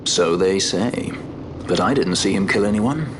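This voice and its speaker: An actor playing a cool, self-interested sky pirate in a video game, talking in a dry, sardonic, laconic way. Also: male voice, low voice, lively intonation, a little slow, background noise. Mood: wry. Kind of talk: video game cutscene acting.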